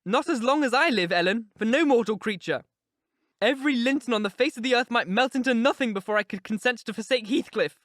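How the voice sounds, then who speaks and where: A woman's voice: on the high side, expressive, moderate pace, long pauses, fairly bright tone, no room sound, studio quality, pitched above the performer's own voice. A woman voicing an impetuous, headstrong young woman who speaks with intense, emphatic passion in an audiobook reading. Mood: vehement.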